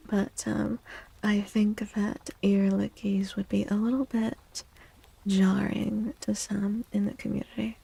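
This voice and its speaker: A soft-spoken woman streaming ASMR, talking gently in a husky, breathy voice that often drifts into whispers, with playful, quaintly formal wording. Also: medium pitch, level tone, takes it slowly, long pauses, low-quality recording. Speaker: live streamer and tarot reader.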